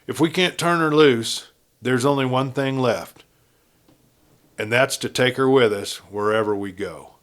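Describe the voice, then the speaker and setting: A man's voice: mid-range voice, unhurried, fairly bright tone, lightly acted. A man voicing a ruthless, blunt outlaw gang leader with a folksy cowboy drawl in a Western story. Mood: scheming, insistent.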